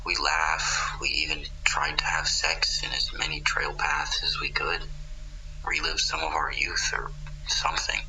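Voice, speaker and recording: A man playing a haunted fire lookout in a radio horror drama, recounting events in a halting, breathy, confessional monologue. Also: bright, forceful tone, slight background noise, heavily acted, rough recording. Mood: uneasy, subdued. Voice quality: low, gravelly, breathy. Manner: halting, breathy, half-whispered. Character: haunted male fire lookout recounting his wife's eerie death.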